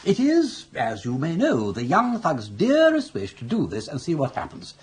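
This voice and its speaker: A breezy, roguish older gentleman with an upper-class English accent. His voice is very husky and rather high for a man, swooping widely as he spins ornate yarns in a radio comedy. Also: wildly swooping pitch, moderate pace, heavily acted. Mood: scheming, jaunty. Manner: jaunty, ornate, raconteur-like phrasing. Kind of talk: radio comedy drama acting.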